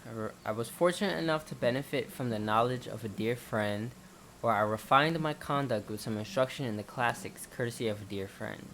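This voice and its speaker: Male gaming host, medium pitch, reads on-screen lines and adds offhand commentary, faint game sound underneath. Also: a little fast, a bit bright, slight background noise, poor audio. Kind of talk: game playthrough, reading dialogue choices aloud and commenting. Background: game audio and ambience.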